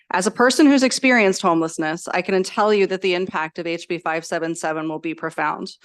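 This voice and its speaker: Woman legislator reading formal testimony, low voice. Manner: earnest, reads steadily from prepared remarks. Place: legislative committee hearing room.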